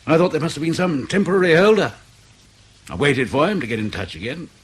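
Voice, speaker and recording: A man's voice: a little high, lively intonation, a little fast, husky. An actor in radio drama playing a defensive spy in his late forties, curt, sardonic and guarded. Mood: terse, weary. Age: 40s. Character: bitter, defensive veteran British spy, aged forty-nine.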